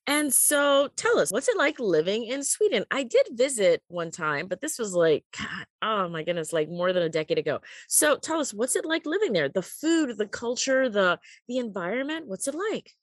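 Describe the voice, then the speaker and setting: A woman's voice: medium pitch, sing-song, moderate pace, hardly any pauses, dry recording. A woman hosting a podcast, reading her show intro and then asking her guest questions in an encouraging way. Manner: encouraging, with many short "mm-hmm" replies. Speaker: podcast host.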